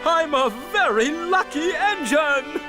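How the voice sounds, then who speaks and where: An earnest young steam engine in a children's cartoon. He sounds self-conscious, with a high, very lively voice. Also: slow, music in the background, exaggerated voice. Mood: glad.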